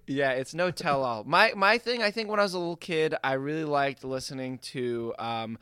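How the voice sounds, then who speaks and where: A man with a bright, forceful, slightly high voice chats casually as a podcast co-host. His sound is close and dry, and he rambles playfully through his stories. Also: moderate pace, clear, resonant tone, no room sound. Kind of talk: casual comedic chat.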